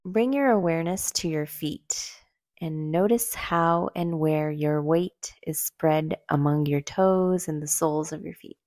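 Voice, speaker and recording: A woman leading a guided meditation in a gentle, soothing, unhurried way, leaving long pauses between short phrases. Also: low voice, dry recording. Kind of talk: slow guided meditation narration.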